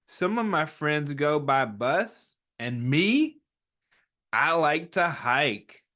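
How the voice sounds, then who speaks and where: A man's voice: on the high side, fairly bright tone. A man hosting a children's story time, reading aloud and chatting to young listeners in an encouraging way, often asking questions and answering them himself.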